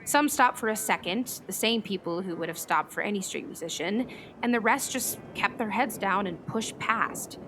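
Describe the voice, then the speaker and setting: A woman's voice: medium pitch, moderate pace, fairly bright tone, slight background noise, close to an everyday voice. A woman acting in a radio play as a thoughtful meteorologist, speaking in a natural, conversational storytelling way. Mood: earnest, disillusioned. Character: thoughtful female meteorologist and hobby comic illustrator.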